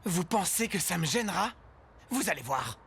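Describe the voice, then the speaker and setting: A woman's voice: lowish voice, sing-song, normal speed, vocal fry. A woman voicing a proud, sensible youngster on a robot team, matter-of-fact and self-assured, in a French cartoon dub. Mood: confident. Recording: music behind the voice.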